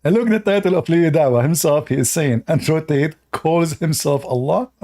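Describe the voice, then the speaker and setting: Man, mocking reaction host, mellow dark tone, slightly high voice, frequent laughter, broken English. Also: brisk. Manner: sarcastic, laughs often, broken English grammar.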